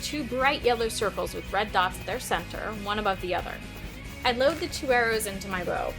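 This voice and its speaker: A woman's voice: mid-range voice, close to an everyday voice, rough recording. A woman playing a sardonic, defiant teenage girl archer who narrates and speaks in an audio drama, expressively. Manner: sarcastic, expressive. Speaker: narrator and lead character. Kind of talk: first-person audio drama narration and dialogue. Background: music.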